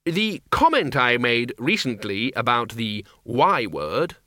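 A man voicing a bossy, exasperated older wizard chief in an audiobook, blustering and gruffly sarcastic. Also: mid-range voice, sing-song, slow pace, pushed and bright, dry recording, clean studio sound, full character voice. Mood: terse, irritated.

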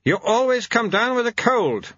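A man's voice: high-pitched, very animated, normal speed, bright, forceful tone, slightly rough, no room sound, highs cut off, voice pushed up, exaggerated voice. A man voicing a long-suffering, bossy wife in a storybook, firm, haughty and put-upon.

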